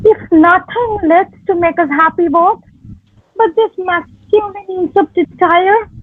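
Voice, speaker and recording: A woman's voice: very high voice, unhurried, no echo. A woman playing an earnest young woman disguised as a page boy in a dramatic Shakespeare reading. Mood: earnest, moved. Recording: tinny phone-like audio under guitar music.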